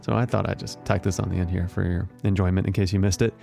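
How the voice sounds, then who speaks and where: A man's voice: low voice, level tone, quick pace, gravelly, mellow, faint music behind. An American man hosting a radio show in a scripted narration style.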